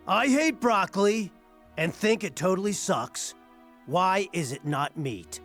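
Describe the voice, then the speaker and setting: An actor playing an earnest hero solemnly reciting silly verse in a measured way, in a comedic game scene. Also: male voice, high-pitched, expressive, fairly bright tone, faint music behind, exaggerated voice. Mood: mock solemn. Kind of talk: comedic game cutscene acting.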